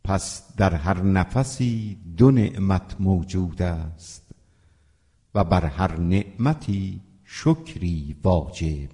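A man reciting classical verse in a slow, solemn manner. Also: low-pitched, animated, dark tone. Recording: long reverb.

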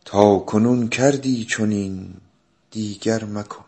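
Deep-voiced man reciting classical poetry. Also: darkish tone. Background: music.

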